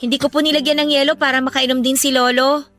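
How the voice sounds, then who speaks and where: Bright, high-voiced dutiful daughter. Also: female voice, flat delivery, quick pace, soft background music. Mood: practical, caring. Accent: Filipino. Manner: polite, earnest, matter-of-fact. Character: dutiful teenage daughter, caring, practical, responsible. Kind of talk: Filipino radio drama acting.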